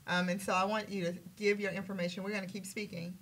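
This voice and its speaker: A woman's voice: medium pitch, little pitch movement, fast, fairly bright tone, poor audio. A woman hosting a talk radio show, chatting with her guest and agreeing often. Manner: affirming, chatty, frequent agreement. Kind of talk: casual radio interview.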